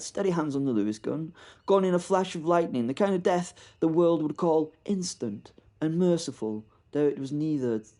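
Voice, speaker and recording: A man's voice: medium pitch, warm tone. Wry British man narrating a horror serial. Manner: wry, halting narration with frequent repeats. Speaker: podcast host and narrator. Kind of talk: first-person horror audio drama narration.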